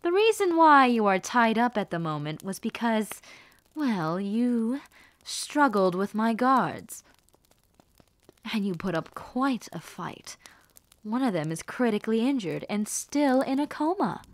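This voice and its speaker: A woman playing a haughty, menacing rebel mistress in a fantasy audio roleplay, speaking formally and condescendingly to the listener with theatrical pauses. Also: a little high, sing-song, dry recording. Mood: silky menace. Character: haughty, menacing villainess, rebel mistress with sweet cruel poise.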